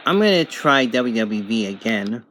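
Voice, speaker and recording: A man's voice: mid-range voice, sing-song, measured pace, fairly bright tone, a little hiss or hum. Man giving a casual gadget review. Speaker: product reviewer.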